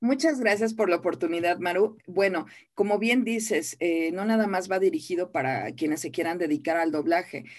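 Mexican woman voice teacher, lowish voice, earnest, keeps repeating for emphasis. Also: moderate pace, hardly any pauses. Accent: Mexican Spanish. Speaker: voice acting teacher. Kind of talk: interview guest.

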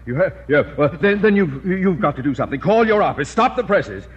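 An actor playing a pompous, scheming middle-aged school principal who blusters theatrically in an old radio comedy. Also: male voice, fairly high pitch, sing-song, a little fast, slightly rough. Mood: panicked, pleading. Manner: pompous, theatrical, florid speech. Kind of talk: 1940s-50s radio comedy acting. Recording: muffled, noisy old radio recording.

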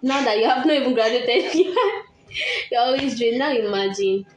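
A woman's voice: fairly high pitch, lively intonation, measured pace, roomy sound. A young Nigerian woman hosting a podcast, chatting and teasing her friend with frequent laughs. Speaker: podcast host. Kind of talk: casual chat with a friend. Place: room in a village house.

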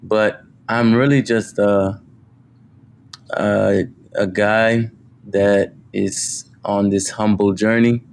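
A man speaking as a guest on a podcast, talking humbly about his work with many pauses and fillers. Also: lowish voice, level tone, slight background noise. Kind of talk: casual interview.

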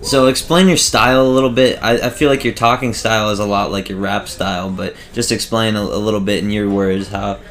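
A man's voice: on the low side, steady tone, brisk, few pauses, a bit bright, a little hiss or hum. A man hosting a local podcast, asking casual questions with lots of "like" and "you know what I mean". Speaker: podcast host.

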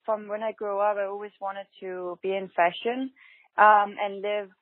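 A woman's voice: medium pitch, fairly even intonation, normal speed, no echo. A young Danish woman with a Danish accent, answering interview questions hesitantly, with many fillers and restarts. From Denmark. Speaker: guest, fashion designer. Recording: tinny phone-line sound, dry.

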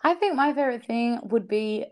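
A woman's voice: a little high, expressive, normal speed, a little mellow. A young woman talking as a podcast guest, earnest and upbeat, often laughing and using fillers like "you know". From South Australia. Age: young adult. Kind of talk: casual podcast interview.

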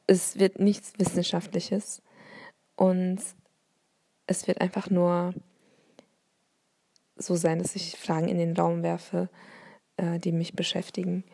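Slow, gravelly-voiced woman musing aloud. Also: lowish voice, mellow. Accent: light Bavarian German. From Bavaria. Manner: thoughtful, many hesitations and fillers. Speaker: podcaster. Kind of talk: reflective solo monologue.

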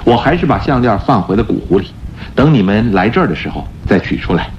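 An actor playing a confident, playful gentleman-thief detective in a radio drama, explaining his deductions theatrically. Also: lowish voice, sing-song, quick pace, slight background noise. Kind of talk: Mandarin radio drama acting. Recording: dull radio recording with background music.

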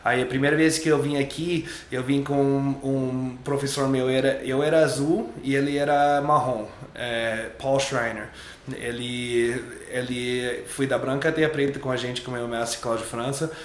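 A young adult man, English-native, talks in a relaxed, rambling way and keeps repeating himself. His voice sits in the middle of the range. An echoey room with faint ambience is behind him. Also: mid-range voice, moderate pace, slight background noise. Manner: loose and chatty, repeats and restarts words. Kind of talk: relaxed interview chat.

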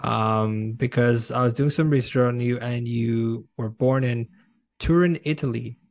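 A man hosting an interview podcast, speaking conversationally in a casual, somewhat halting way. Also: medium pitch, darkish tone.

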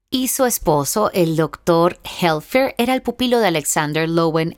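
Woman, podcast host, low voice, heartfelt reflective monologue, dry close sound. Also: no room sound. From Venezuela. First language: Spanish. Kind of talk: personal monologue.

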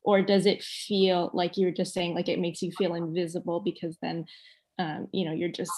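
A woman's voice: on the low side, little pitch movement, moderate pace, darkish tone, breathy edge. Thoughtful woman asking probing questions. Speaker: teacher co-host. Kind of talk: interview questions.

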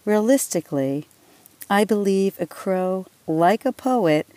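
An American woman from Philadelphia, a poet, reading a scripted introduction in deliberate, separated phrases. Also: mid-range voice, lively intonation, mellow, no room sound. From Philadelphia, United States. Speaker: poet and poetry editor.

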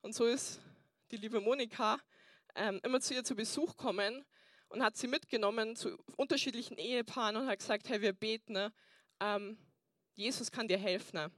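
A woman's voice: a little high, moderate pace, dry recording, studio quality. Woman pastor preaching. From Bavaria, Germany. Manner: earnest, frequent fillers. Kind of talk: sermon with personal testimony.

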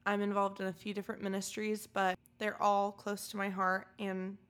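A bubbly young woman of about twenty chats candidly as a podcast co-host. She talks at an easy, ordinary pitch and keeps a friendly, casual flow. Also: monotone, moderate pace, a bit bright. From California, USA. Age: 20s. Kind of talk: casual chat.

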